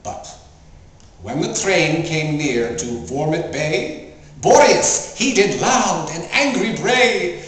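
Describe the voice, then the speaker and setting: Echoey, slow, grandiose man reciting verse. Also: high voice. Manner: grandiose, declamatory, mock-solemn. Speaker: actor performing poetry. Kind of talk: theatrical poetry recital. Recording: very echoey, distant mic, faint noise.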